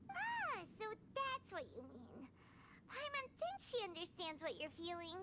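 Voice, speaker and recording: A woman voicing a small, childlike, anxious fairy companion in a video game, squeaky, exclamatory and dramatic. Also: wildly swooping pitch, a little slow, very noisy, exaggerated voice. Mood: curious. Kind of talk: scripted video game voice acting.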